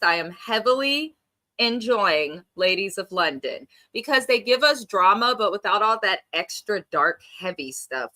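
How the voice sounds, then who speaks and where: Young woman, stuffy nasal allergy voice, bright tone, chatty slangy reviewer. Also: medium pitch. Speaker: reality TV reviewer. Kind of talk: casual recap and reaction.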